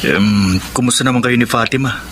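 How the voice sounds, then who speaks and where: Actor doing nosy filipino guy pal, mid voice, animated, noisy radio drama audio. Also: medium pitch, a little fast, natural voice. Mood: curious, concerned. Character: candid, curious male confidant of the hero.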